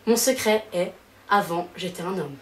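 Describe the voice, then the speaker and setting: A woman's voice: fairly low pitch, normal speed, lightly acted. Noisy, echoey woman contestant played by a woman. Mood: matter-of-fact.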